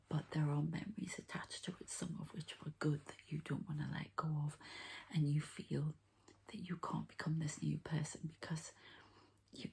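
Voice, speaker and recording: A woman speaks low and mellow in an ASMR healing session, slipping in and out of a breathy whisper. Her voice is very creaky, and she murmurs to the listener without hurry as she reads the cards. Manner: hushed, unhurried, murmuring to the listener. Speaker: ASMR energy healer and card reader. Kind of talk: whispered ASMR card reading.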